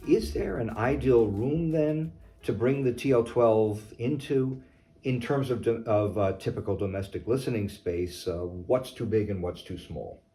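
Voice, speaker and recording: A man's voice: mid-range voice, measured pace, warm tone, faint music behind. A man asking formal, carefully worded questions as an interviewer, recorded slightly away from the microphone. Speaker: interviewer, audio journalist. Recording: slightly distant from the mic.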